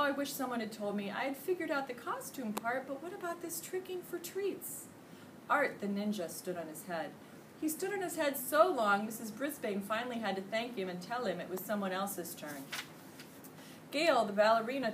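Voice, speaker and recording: A middle-aged American woman reading a children's book aloud expressively, like a teacher in a classroom. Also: medium pitch. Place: classroom, indoors. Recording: distant mic, loud room noise.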